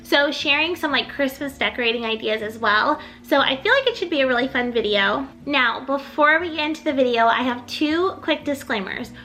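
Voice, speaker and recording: Chatty bright-voiced young woman vlogger. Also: high voice, expressive, a little fast, few pauses, rough recording. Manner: chatty, rambling, upbeat, lots of "like". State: recovering from illness, voice a little off. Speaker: home decor YouTuber. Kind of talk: casual chatty vlog.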